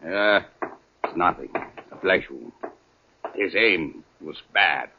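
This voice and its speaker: Troubled, low-voiced doctor. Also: male voice, animated, full character voice. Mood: weak, subdued. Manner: slow, halting, formal. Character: distressed, evasive male doctor, weary and polite. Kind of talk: 1940s radio drama acting. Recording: muffled, hissy 1940s radio recording.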